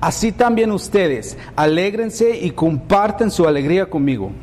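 A man's voice: high-pitched, expressive, normal speed, a little mellow, long reverb tail. Man preaching in learner's Spanish. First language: English. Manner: conversational, asks the congregation questions. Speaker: pastor. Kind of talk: bilingual sermon.

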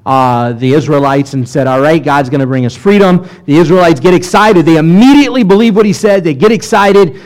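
Conversational rabbi teaching, slightly high voice. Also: male voice, fairly quick, hardly any pauses, darkish tone, poor audio. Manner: conversational, with fillers and restarts, reading passages aloud. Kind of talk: sermon-style teaching on scripture.

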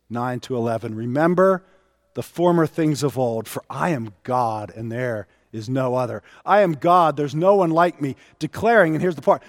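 A man in his fifties delivers a sermon in a slightly high voice. He uses weighty pauses and repeated phrases for emphasis. The mic is close, with a long hall reverb around the voice. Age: 50s. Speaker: preacher. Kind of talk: sermon to a congregation.

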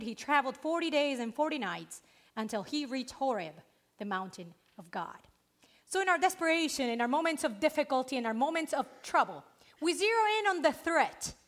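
A woman's voice: high-pitched, fairly bright tone. A woman in her thirties with a Spanish accent, preaching a sermon earnestly and emphatically.

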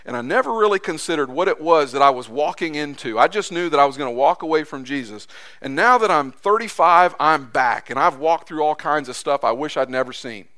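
A man preaching a sermon in a church, impassioned, his voice climbing high as he acts out quotes, then dropping low and soft. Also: expressive, fairly quick. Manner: impassioned, voice rising high when acting out quotes. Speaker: pastor.

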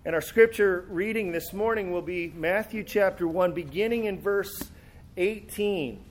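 A man preaching a sermon and reading scripture, talking easily with some fillers, a little hoarse from a cold. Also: sits high, a little slow, a little hiss or hum, poor audio. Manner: relaxed, conversational, with fillers and restarts. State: sore throat and a cold. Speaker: pastor. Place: church sanctuary.

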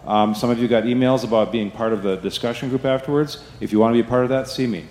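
A man's voice: medium pitch, quick pace, a little mellow, slight background noise. A man giving church announcements to the congregation in a chatty way, often asking "right?". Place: church sanctuary. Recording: echoey hall, faint ambience.

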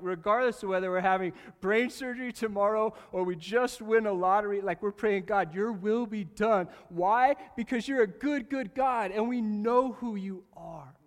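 A man preaching a sermon to his congregation, animated and jokey, swinging from loud bursts to quiet asides and talking straight to the room. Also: high voice. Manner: jokey, talks directly to the congregation. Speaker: pastor. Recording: long reverb of a large hall.

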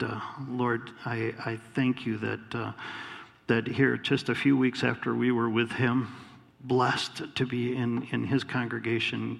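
A male pastor with a gravelly, creaky voice welcomes a guest and prays over him, pausing often with fillers. His voice rings out in a reverberant church sanctuary with a long echo. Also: mid-range voice. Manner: warm halting delivery with many fillers.

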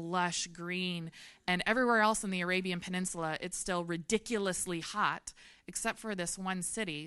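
Woman, 30s, missionary at a church; earnest testimony, dry close sound, slightly low. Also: a bit bright, clear, resonant tone, no room sound. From Memphis, Tennessee. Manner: earnest, laughs often, many fillers. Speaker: missionary speaker. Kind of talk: personal testimony to a congregation.

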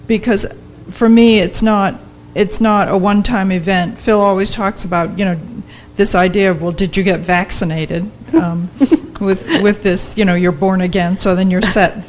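A woman's voice: medium pitch, fairly even intonation, moderate pace, few pauses, slight background noise, poor audio. A woman in a church discussion group, sharing her view earnestly and thinking aloud as she speaks.

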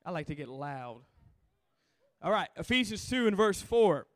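A hoarse man preaching to a camp crowd in a large hall, calling for responses from the audience. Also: high voice, sing-song, unhurried, fairly bright tone. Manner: call-and-response, coaxing the crowd. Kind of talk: sermon to a youth camp crowd. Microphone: handheld stage mic.

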